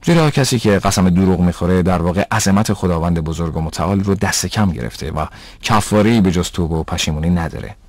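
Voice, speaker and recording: Deep, dry-sounding patient cartoon father. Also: lively intonation, darkish tone, no room sound. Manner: measured, didactic. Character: patient, pious father teaching his son religious rules. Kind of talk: dubbed educational cartoon dialogue.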